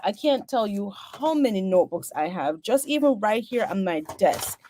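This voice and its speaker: A woman's voice: mid-range voice, expressive, moderate pace, mellow. A woman from Jamaica with a Jamaican accent, hosting a casual interview over a video call from her desk and often chiming in with short agreements. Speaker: host. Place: home office at a desk.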